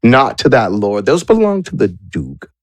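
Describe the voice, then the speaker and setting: A man's voice: mid-range voice, huge pitch swings, a little slow. A man game mastering a casual, improvised tabletop roleplay in an incredulous, teasing, sweary way.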